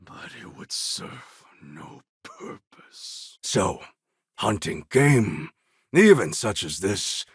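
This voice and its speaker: A fierce, proud rebel warlord for a video game. His voice is low and heavily rasped, with gravel in it. He speaks in grave, formal phrases with wide swings of pitch, brooding and commanding. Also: sing-song, very slow, dry recording, studio quality, heavily acted. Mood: menacing. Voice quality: husky, gravelly. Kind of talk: video game character acting.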